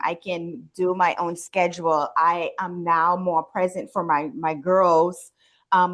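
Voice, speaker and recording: Woman, forties, from the Bahamas, interview guest, lowish voice, slow conversational storytelling. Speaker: interview guest, wellness business owner and nurse.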